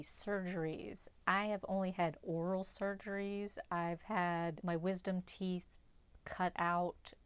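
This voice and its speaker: Woman with a Pittsburgh accent, low voice, steady flat delivery, candid casual vlog. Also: smooth, no room sound. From West Virginia. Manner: candid, chatty, reads out numbered questions. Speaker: vlogger. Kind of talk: casual tag video answering questions.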